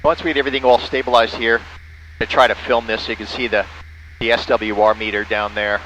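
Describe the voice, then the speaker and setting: Bright-voiced man narrating from a small plane. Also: mid-range voice, level tone, a little fast, dull audio. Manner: spells out callsigns in phonetic alphabet. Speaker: pilot and amateur radio operator. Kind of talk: casual in-flight narration and radio calls. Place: small airplane cockpit in flight. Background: faint vehicle engine noise.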